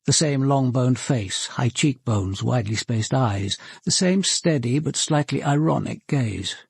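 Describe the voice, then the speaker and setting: A grave British senior detective in a radio drama. His voice is very husky with a creaky edge, and it moves expressively through measured, authoritative, drily understated speech. The sound is close and dry. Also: medium pitch, animated, no room sound, full character voice. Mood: measured, reflective. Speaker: lead actor and narrator. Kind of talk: radio drama acting and narration.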